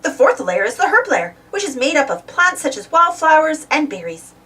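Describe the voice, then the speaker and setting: High, very animated woman reading a storybook. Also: moderate pace, far from the mic. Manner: expressive, simple delivery for young children. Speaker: storybook reader. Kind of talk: scripted read-aloud children's storybook narration.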